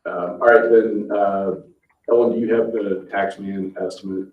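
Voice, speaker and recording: A man's voice: on the low side, flat delivery, normal speed. A man chairing a town board meeting in an informal, procedural way. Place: indoor public meeting room. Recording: muffled, echoey meeting room, distant mic.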